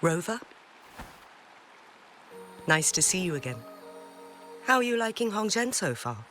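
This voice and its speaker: Courteous, composed town official. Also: female voice, medium pitch, big pitch swings, a bit bright, faint music behind. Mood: polite, grateful. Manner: polite, formal, measured. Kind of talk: video game character dialogue.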